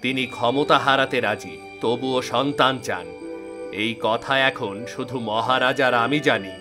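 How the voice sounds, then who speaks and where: A man's voice: medium pitch, unhurried, over music, close to an everyday voice. A man voicing a devoted, burdened fairy-kingdom guard in a cartoon, speaking earnestly and formally. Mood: earnest, resolute. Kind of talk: dramatic fairy-tale cartoon dialogue.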